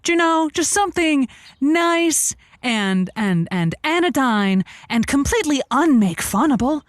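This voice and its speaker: A woman's voice: high-pitched, very animated, a little slow, dry recording, studio quality. A woman playing an eager, inexperienced late-night radio host who rambles and stammers with chirpy politeness in an audio comedy. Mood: encouraging, rambling. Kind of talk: audio comedy drama acting.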